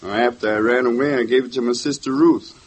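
Man voicing a nervous young suspect, husky voice, defensive, old radio play. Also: mid-range voice, steady tone, a little fast, close to an everyday voice. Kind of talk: 1950s radio drama acting.

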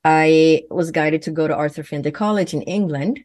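A woman speaks in a low, deep register, earnest and fluent, though she often restarts her phrases. The sound is close and dry, with no room echo. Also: normal speed, darkish tone. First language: Swedish. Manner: earnest, fluent with frequent self-corrections. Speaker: guest, medium and physician. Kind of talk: conversational interview answers.

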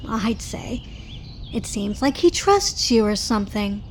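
A woman's voice: mid-range voice, expressive, unhurried, a little hiss or hum. A woman playing a friendly young police officer in an audio drama, talking casually.

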